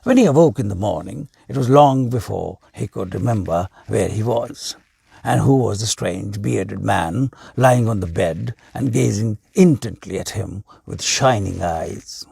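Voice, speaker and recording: A man's voice: lowish voice, unhurried, gravelly, warm tone, slightly rough, dry recording, clean studio sound. A man reading a tale aloud as a storyteller. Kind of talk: read-aloud tale narration.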